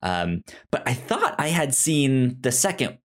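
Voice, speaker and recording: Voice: medium pitch, very animated, a little slow. A podcast co-host chatting casually about films, often stammering and repeating words in a hesitant way. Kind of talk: casual film discussion.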